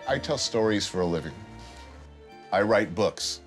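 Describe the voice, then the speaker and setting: A man's voice: fairly low pitch, expressive, a little slow. A man hosting a television show who chats and interviews in a dry, wry way. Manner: dry, wry conversational delivery. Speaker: television host. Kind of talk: casual interview and narration. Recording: music underneath.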